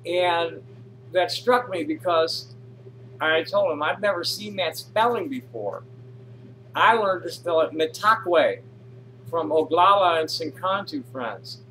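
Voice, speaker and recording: A man's voice: fairly high pitch, slow pace, sharp tone, a little hiss or hum, rough recording. A man casually reading posts aloud and commenting on them, rambling with frequent restarts and fillers. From North America. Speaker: reader of social media posts.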